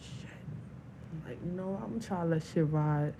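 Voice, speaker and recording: A woman's voice: sits low, normal speed, background noise. A woman guest on a podcast with a New York accent, talking in a laid-back, rambling way with lots of fillers. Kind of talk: casual chat.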